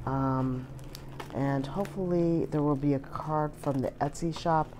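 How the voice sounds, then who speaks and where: A hoarse woman chatting casually through an unboxing, with many filler words. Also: low-pitched, unhurried, dark tone, slight background noise. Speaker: vlogger.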